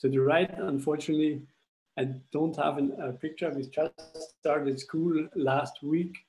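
A young man from Austria presenting his school project in a webinar over a video call, speaking English haltingly with frequent restarts. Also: fairly high pitch, fairly even intonation, a little mellow, soft background music, rough recording. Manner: halting, restarts phrases while explaining slides. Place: indoors at home. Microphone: laptop webcam video call.

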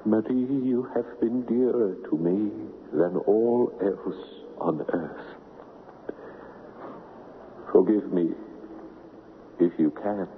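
An actor playing a world-weary, despairing prince in a radio drama, speaking in a halting, melodramatic way. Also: male voice, fairly low pitch, in a large hall. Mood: bitter despair. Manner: slow, halting, melodramatic delivery. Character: despairing, world-weary crown prince renouncing life and love. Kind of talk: old-time radio drama acting. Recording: muffled 1970s radio broadcast, faint noise.

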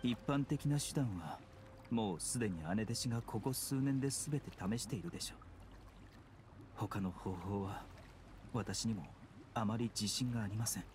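An actor playing a refined young doctor in an anime game, polite, gentle and measured, with clinical gravity. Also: male voice, lowish voice, background noise, close to an everyday voice. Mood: grave, composed. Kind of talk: Japanese anime game acting. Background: background music.

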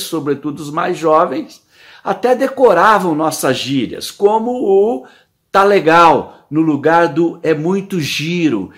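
A middle-aged Brazilian man with a Brazilian Portuguese accent, reading his written opinion column indoors into a phone camera, lively and emphatic with rhetorical questions. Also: on the high side.

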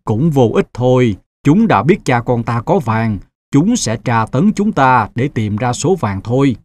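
A man voicing a grave, protective middle-aged merchant father in an animated fairy tale. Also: medium pitch, a little slow. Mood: grave, worried.

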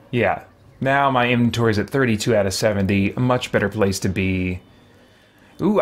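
A middle-aged man giving casual gameplay commentary, thinking aloud as he deliberates over his choices. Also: medium pitch. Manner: musing, deliberating out loud. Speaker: video game streamer. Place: indoor room with shelves.

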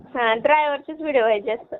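Young marathi village girl chatting on a call, really high voice, thin phone audio. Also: fast. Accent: Marathi, rural western Maharashtra. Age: young adult. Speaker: social media video performer. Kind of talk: casual phone chat. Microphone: phone line. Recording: tinny phone call recording.

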